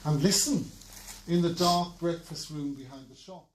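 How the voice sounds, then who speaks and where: A man's voice: fairly high pitch, normal speed, a little hiss or hum, close to an everyday voice. A man reading plays aloud as an amateur actor, switching between characters. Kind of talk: play reading, theatrical. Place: small theatre stage. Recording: roomy theatre from far off.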